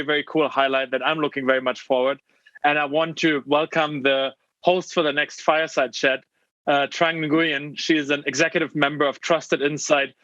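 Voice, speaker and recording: A man's voice: a little high, sharp tone, dry recording, muffled recording. A man hosting an event, introducing speakers in a formal, welcoming announcer's style. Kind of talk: stage announcing.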